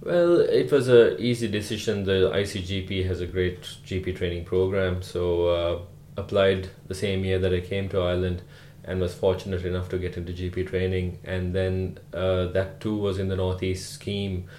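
A man from Sri Lanka, a rural GP, answering podcast interview questions in an unhurried way with many "uh" fillers. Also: deep voice, fairly even intonation, darkish tone, roomy sound, slight background noise. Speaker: guest, rural GP.

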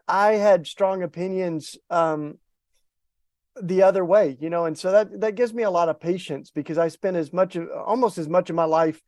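A middle-aged man with an East Tennessee Southern accent speaks in a folksy, relaxed way. His talk rambles gently and is full of restarts. The sound is dry and close, with little room around his voice. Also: sits high, dry recording. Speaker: guest. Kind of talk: casual interview talk.

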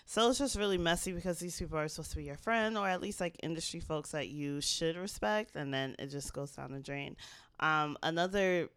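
A young woman co-hosting a podcast, chatting casually with quick asides that trail off. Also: low-pitched, fairly bright tone, smooth, dry recording, clean studio sound. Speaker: podcast co-host. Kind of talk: casual chat.

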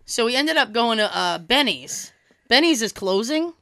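A young woman, hoarse from a cold, telling a story in casual chat and acting out quoted dialogue with a swear now and then. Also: on the high side, expressive, moderate pace, pushed and bright.

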